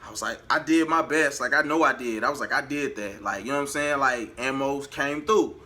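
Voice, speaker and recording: A man vlogging in a casual, colloquial storytelling style, animated and acting out bits of dialogue as he goes. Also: mid-range voice, brisk. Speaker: vlogger and singer.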